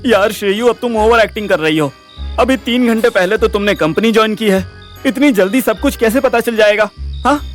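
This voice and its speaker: An actor plays a hot-headed young Indian guy in an audio drama. He has a high-pitched male voice and a brash, slangy, complaining delivery, with soft music behind. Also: brisk, natural voice. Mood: argumentative. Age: young adult.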